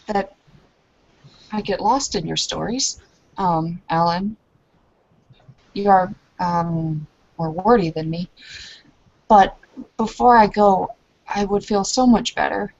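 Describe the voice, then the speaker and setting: Woman playing an earnest, low-voiced werewolf kinswoman. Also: creaky, muffled recording, full character voice. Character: earnest, humble, formal kinfolk woman of a werewolf clan. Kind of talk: improvised in-character roleplay.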